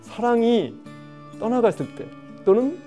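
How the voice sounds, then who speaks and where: A man's voice: very high voice, unhurried, soft background music. A man lecturing a studio panel on regional dialects in songs, putting questions to his listeners. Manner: animated, asks the audience questions.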